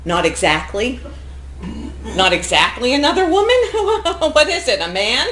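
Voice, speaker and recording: A woman's voice: a little high, big pitch swings, fairly bright tone. A woman playing a sarcastic, teasing longtime wife in a stage comedy, mocking and exclamatory. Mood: incredulous, teasing. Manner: mocking, exclamatory, quick to tease. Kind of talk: stage acting in a one-act comedy play. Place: indoor theater stage, recorded live. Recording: roomy live theater recording, faint noise.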